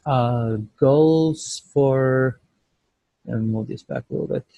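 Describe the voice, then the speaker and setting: A man giving an informal project update on a video call, speaking in a hesitant, halting way. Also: medium pitch, dark tone, no room sound. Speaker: student developer presenting a team project check-in. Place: indoors at home, on a video call.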